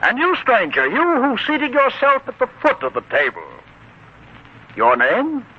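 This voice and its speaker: A man's voice: extremely high pitch, wildly swooping pitch, breathy edge, heavily acted. A man playing a gracious, ceremonious father of the bride hosting a feast, in 1940s radio drama style on a muffled old broadcast. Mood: welcoming. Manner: courteous, ceremonious, deliberate.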